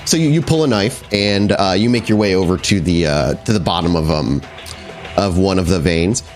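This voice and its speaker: A man's voice: low voice, normal speed, faint music behind. A man working as storyteller of a tabletop role-playing game, improvising narration and explaining the rules descriptively.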